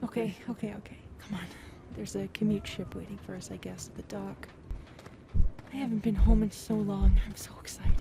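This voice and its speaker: A woman playing a big, affectionate alien in an intimate audio roleplay, soft, breathy and playful, talking closely to her partner. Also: medium pitch, flat delivery, fairly quick, background noise, natural voice. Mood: eager, affectionate. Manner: soft, breathy, playful, often dropping to whispers.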